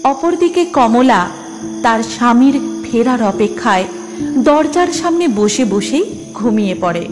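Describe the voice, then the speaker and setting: Animated, high-pitched woman storyteller. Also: unhurried. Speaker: narrator. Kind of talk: dramatic scripted children's story narration. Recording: music behind the voice. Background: music.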